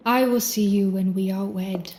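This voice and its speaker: An actress playing a proud, wounded young bride in a gothic audio drama, speaking in a formal, solemn manner. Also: female voice, medium pitch, steady tone, moderate pace, dark tone, natural voice. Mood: cold, resigned.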